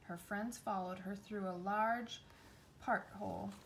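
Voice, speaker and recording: A woman's voice: medium pitch, fairly even intonation, slow. A woman reading a children's storybook aloud as a storyteller. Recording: noticeable background noise.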